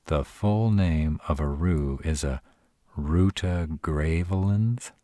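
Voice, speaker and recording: Man voicing a male were-dragon, very low pitch, unhurried pace, sarcastic yet caring, protective, fantasy roleplay audio. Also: mellow, dry recording, studio quality. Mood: uneasy, cautious. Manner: tsundere, teasing yet caring, hesitant pauses. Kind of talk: romantic fantasy audio roleplay, speaking to the listener.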